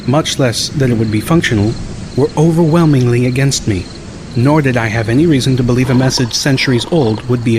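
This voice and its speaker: A man narrating a first-person story as an audiobook, reading in a measured, dramatic way. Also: medium pitch, a little mellow, a little hiss or hum. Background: music.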